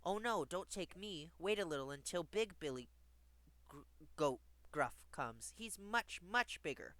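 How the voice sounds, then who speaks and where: A man's voice: sits high, lively intonation, a little slow, a bit bright, dry recording, voice pushed up. A man voicing a middle-sized, nervous billy goat who pleads in a storybook tale. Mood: pleading.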